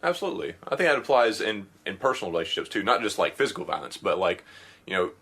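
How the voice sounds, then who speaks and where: A man with a lowish voice co-hosts a casual podcast. He talks through advice in an easygoing way and fills his sentences with "you know". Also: very animated, fast talker, a bit bright, husky. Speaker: podcast co-host.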